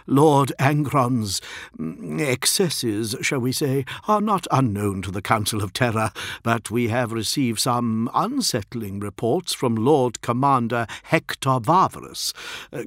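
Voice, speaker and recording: A man voicing a foppish, deferential imperial envoy in an audiobook, careful and uneasy, with smooth formal phrasing. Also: medium pitch, animated, a little slow, a bit bright, no echo, clean studio sound. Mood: tactful. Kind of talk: dramatic audiobook character voice.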